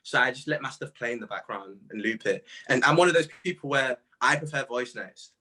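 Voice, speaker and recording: A man's voice: medium pitch, expressive, a little fast, bright, forceful tone. A young man with a British accent, chatting casually as a musician being interviewed, laid-back and full of slang.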